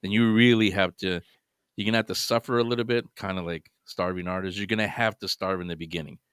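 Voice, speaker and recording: A man's voice: deep voice, fairly even intonation, quick pace, fairly bright tone, smooth, no room sound, clean studio sound. A man guesting on a podcast, giving earnest advice in casual chat, often restarting his sentences.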